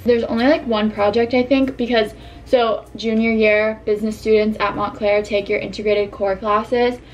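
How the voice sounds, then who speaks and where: A woman's voice: medium pitch, poor audio. A woman in her twenties vlogging casually from her bedroom, chatty and full of "like" fillers, her voice a bit worn. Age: 20s. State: slightly losing her voice. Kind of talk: casual vlog chat to camera. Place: bedroom, indoors.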